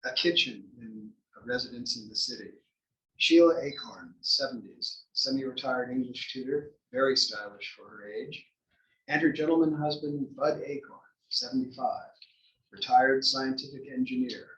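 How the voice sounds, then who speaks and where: A man's voice: medium pitch, moderate pace, a bit bright, highs cut off. A man reading scripted stage directions as the narrator of a table read. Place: library room before an audience. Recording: echoey room, distant mic.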